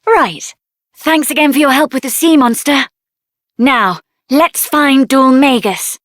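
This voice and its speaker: A woman voicing a headstrong young female mage adventurer in a video game, with an assertive, sassy and expressive delivery. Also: high voice, slow, no echo, clean studio sound. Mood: friendly, brisk. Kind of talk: video game character voice acting.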